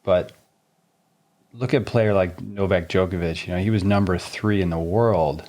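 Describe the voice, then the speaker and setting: A man co-hosting a tennis podcast, talking in a relaxed way with many fillers and frequent laughs. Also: low voice, moderate pace. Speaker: tennis coach, podcast co-host. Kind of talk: casual podcast chat.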